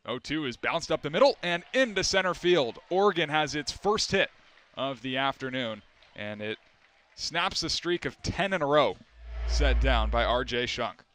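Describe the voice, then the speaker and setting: A young man calling a baseball game play-by-play, measured and steady, lifting his voice for the big plays. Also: a little high. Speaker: play-by-play announcer.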